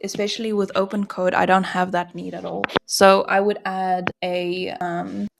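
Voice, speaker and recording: A woman's voice: lowish voice, level tone, normal speed, gravelly, noisy background. A woman talking through her own learning goals in a casual vlog, rambling with frequent restarts. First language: not German. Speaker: product manager vlogger. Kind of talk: casual vlog self-review.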